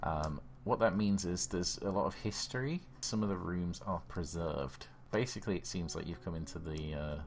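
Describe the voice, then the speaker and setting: A man's voice: deep voice, moderate pace, hardly any pauses, slight background noise. A man working as game master, narrating an improvised horror role-playing game in a descriptive, conversational way.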